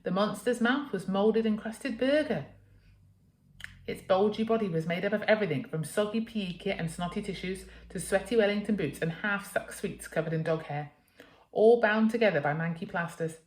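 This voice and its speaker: A woman's voice: mid-range voice, normal speed. A woman reading a children's story aloud as a teacher, with dramatic, emphatic expression. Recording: echoey room.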